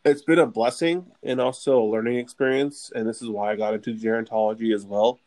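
A man's voice: medium pitch, fairly even intonation, fairly quick, a little mellow. A young man co-hosting a casual student chat, laid-back and joking, chuckling often. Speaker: podcast co-host.

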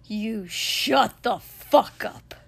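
A woman voicing a furious, volatile young man in an audiobook story, with a forceful, explosive delivery. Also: a little high, extremely slow, vocal fry, slightly rough, exaggerated voice.

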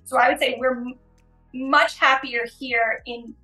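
A woman's voice: sits high, steady tone, a little slow, bright, forceful tone, echoey room, soft background music. A woman with an American accent giving conversational answers as a podcast interview guest. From United States.

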